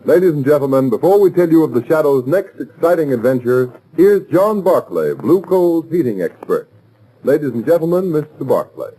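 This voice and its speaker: Mellow American man, vintage radio announcer. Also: fairly high pitch, sing-song, normal speed, rough recording. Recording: vintage 1930s radio recording.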